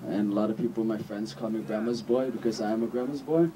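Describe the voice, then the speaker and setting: A man's voice: lowish voice, fast, far from the mic, background noise. A young man from the United States speaking at an outdoor rally, telling a personal story and posing questions with casual fillers.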